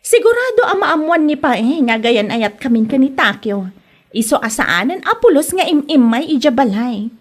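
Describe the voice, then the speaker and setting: A woman's voice: sits high, big pitch swings, moderate pace. A woman playing a haughty, defensive young village woman in a comedy audio drama, indignant and dismissive. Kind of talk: Ilocano comedy radio drama acting.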